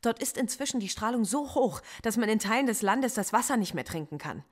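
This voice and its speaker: An actress playing a defiant middle-aged philosophy professor under interrogation in a German radio play, measured and coolly articulate. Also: female voice, on the high side, lively intonation. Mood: bitter, lecturing.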